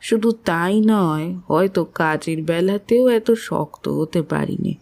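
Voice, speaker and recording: A woman playing a caring, plain-spoken older motherly matron in a literary audio drama, with a gentle, concerned, homely manner. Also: lowish voice, unhurried, creaky. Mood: tender concern.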